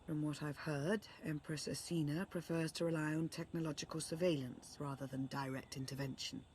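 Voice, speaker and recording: A woman plays a female Sith strategist from a sci-fi video game. Her voice sits low for a woman, and she speaks formally and with measured care, earnest and composed. A faint ambient noise runs behind her. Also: steady tone, a little mellow, close to an everyday voice. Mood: grave, composed. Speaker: advisor and ally. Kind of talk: scripted game dialogue, briefing.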